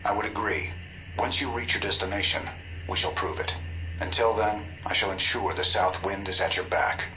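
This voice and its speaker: An actor playing an older, secretive intelligence broker in a video game, formal, precise and cool over a radio transmission. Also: male voice, on the low side, normal speed. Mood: dryly assured. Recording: noisy, humming radio link.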